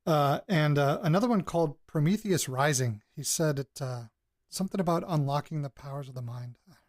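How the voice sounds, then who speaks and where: An actor playing a jittery, bitter young man in an audio drama, speaking in a halting, sardonic, conversational way. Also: on the high side, a little mellow, dry recording, clean studio sound, lightly acted. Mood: weary, skeptical. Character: jittery, bitter young man investigating his grandparents' past. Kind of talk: audio drama, naturalistic spoken recorded diary.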